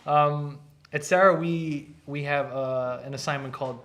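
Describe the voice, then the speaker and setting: A man's voice: fairly high pitch, steady tone, normal speed, fairly bright tone. A man, an art instructor, chatting casually on a live stream and explaining things at length with many restarts. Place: art academy studio.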